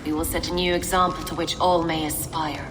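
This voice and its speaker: A woman's voice: low-pitched, flat delivery, fairly quick, music in the background, lightly acted. A woman playing a composed, condescending abbess, a fanatical villain in a video game. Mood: calm menace. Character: cold, menacing female abbess, a calm fanatical villain. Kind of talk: video game dramatic acting.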